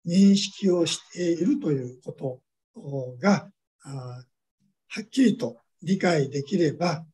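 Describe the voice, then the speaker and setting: A man giving a measured academic lecture, pausing often on drawn-out fillers. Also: a little high, expressive, dark tone. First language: Japanese.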